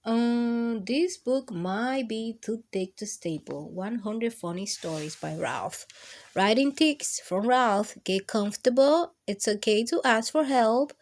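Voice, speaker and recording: A woman hosting a children's storytime, reading picture books aloud expressively with drawn-out emphasis for young listeners. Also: fairly high pitch, animated, a little mellow. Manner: drawn-out, emphatic delivery addressed to small children.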